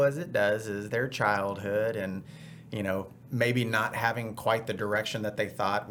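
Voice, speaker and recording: A man's voice: on the low side, fairly bright tone, slight background noise. A man hosting a podcast, upbeat and chatty with rambling asides. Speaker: podcast host. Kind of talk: casual podcast chat.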